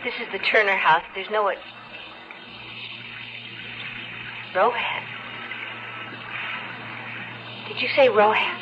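A woman's voice: medium pitch, fast, lightly acted. An actress playing an anxious, evasive married woman in a 1970s radio drama, hesitant and pleading. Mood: startled, uneasy. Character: anxious, evasive married woman in her New York apartment. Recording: dull, noisy old radio recording.

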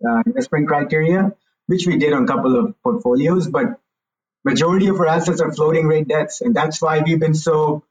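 A man's voice: on the high side, brisk, distant mic, muffled recording. A man in his forties from India, a guest telling his story frankly in a casual interview. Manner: candid, hedges with "you know, look".

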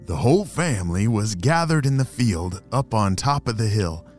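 A man voicing a 137-year-old grandpa tortoise, wise and protective, who speaks slowly and gently. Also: on the low side, expressive, soft background music, voice pushed down. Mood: gentle.

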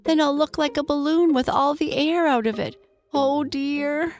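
A fretful toy elephant from a children's storybook, acted out by a woman, pitched high for a male creature. He laments and sighs plaintively, with music behind the voice. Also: fairly even intonation, a little slow.

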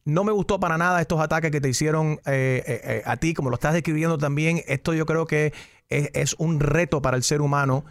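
A man's voice: a little high, fairly even intonation, brisk, no room sound, clean studio sound. A man hosting a talk show, asking questions formally with hesitant fillers.

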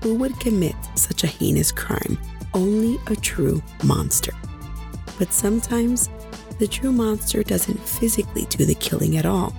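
A woman hosting a true crime podcast, hoarse after nearly losing her voice, chatting directly with her listeners. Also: medium pitch, animated, a little slow, warm tone, soft background music. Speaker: podcast host.

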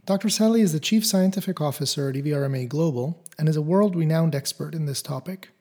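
A man hosting a podcast, presenting in an orderly way and putting questions to a guest, with a husky voice. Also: fairly high pitch, fast, darkish tone. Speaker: podcast host. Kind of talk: scripted podcast introduction and interview questions.

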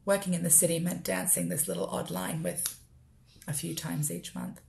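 Australian woman, spoken-word writer, lowish voice with heavy fry, measured literary read. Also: sing-song, darkish tone. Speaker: writer performing own work.

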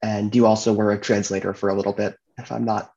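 A man hosting a podcast, talking in a relaxed, chatty way. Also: fairly low pitch, fast, dull audio. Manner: relaxed, chatty, uses fillers. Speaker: podcast host. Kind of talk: casual podcast chat.

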